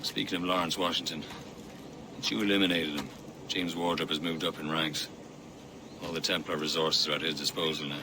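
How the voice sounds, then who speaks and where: A man's voice: a bass voice, fairly even intonation, brisk, background noise. An actor playing a grave, plain-spoken male assassin informant in a video game cutscene, matter-of-fact.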